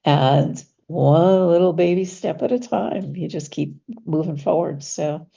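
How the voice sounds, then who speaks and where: A woman with a low, gravelly voice, stuffy from allergies, chatting as a guest on a lo-fi call. Her tone is mellow and husky, with small laughs and drawn-out stressed words. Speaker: guest coach. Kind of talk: casual interview conversation.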